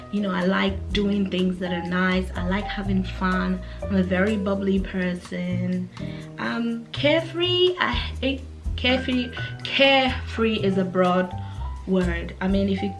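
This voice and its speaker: A woman in her twenties from Africa, chatting casually to camera as a vlogger, relaxed and full of fillers and restarts, with music behind her. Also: mid-range voice. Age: 20s.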